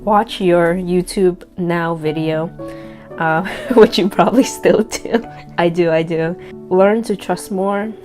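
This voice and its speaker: Reflective young woman, lowish voice. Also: fairly even intonation, slow. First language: Cantonese. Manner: candid, reflective, self-deprecating with soft laughs. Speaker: vlogger. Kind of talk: casual reading of a personal letter. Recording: guitar music playing behind.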